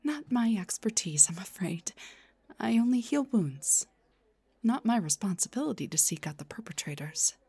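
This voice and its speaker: A woman playing a gentle, refined vampire doctor in a soft-spoken roleplay, breathy and whispery, with a polite, slightly hesitant manner. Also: medium pitch, lively intonation, moderate pace, no echo. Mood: apologetic, reassuring.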